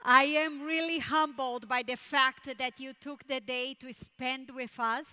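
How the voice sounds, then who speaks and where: A woman from Brazil with a Brazilian Portuguese accent, whose first language is Portuguese, giving a conference keynote speech with measured phrasing and dramatic pauses. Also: sits high, fairly even intonation, pushed and bright, clear, resonant tone. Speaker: keynote speaker.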